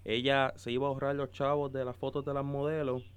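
A man's voice: medium pitch, little pitch movement, quick pace, smooth. A man in his twenties with a Caribbean Spanish accent, telling his story casually as a podcast guest. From Dominican Republic and Puerto Rico. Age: 20s. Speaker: podcast guest, photographer and videographer.

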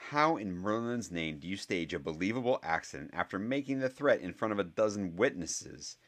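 A man playing a quick-tongued boy wizard. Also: on the low side, animated, a bit bright, voice pushed up. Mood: indignant. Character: clever eleven-year-old boy wizard, quick-tongued.

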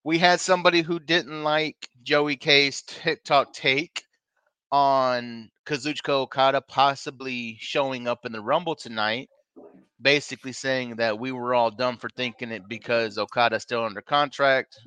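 Bright, clear-voiced man hosting a podcast. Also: mid-range voice, steady tone, moderate pace, no echo. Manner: upbeat, plugging social media, teasing. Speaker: podcast co-host. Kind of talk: casual live podcast chat. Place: bar and grill, live show.

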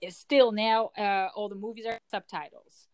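A woman from the Netherlands, a native Dutch speaker whose English comes out sounding American, sits in as a guest on a podcast. She talks in an animated, emphatic way. She often doubles back on her words while working toward a point. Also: medium pitch, normal speed. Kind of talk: casual conversation.

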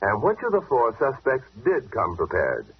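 An actor playing a sharp, confident young detective in 1940s radio mystery style. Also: male voice, mid-range voice, huge pitch swings, moderate pace, breathy edge, full character voice. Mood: confident explaining. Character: brisk, sharp young detective son, confidently explaining clues. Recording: muffled old-time radio recording.

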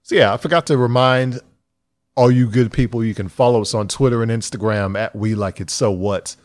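A man's voice: medium pitch, a little fast. A man hosting a casual podcast, joking around in a laid-back way between segments. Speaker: podcast host.

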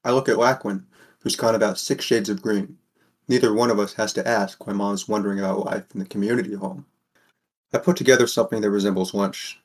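A man's voice: on the low side, fairly even intonation, a little fast, natural voice. A man playing a wry, burdened teenage boy who narrates an audio drama in a plain, understated way. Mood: matter-of-fact. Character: sixteen-year-old boy, wry, burdened, caring narrator. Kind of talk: first-person scripted narration, audio fiction.

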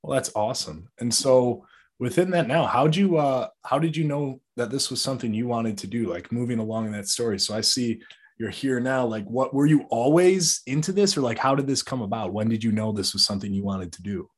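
A man's voice: mid-range voice, brisk, husky. A man hosting a podcast, upbeat, with plenty of filler words as he questions his guest. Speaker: podcast host.

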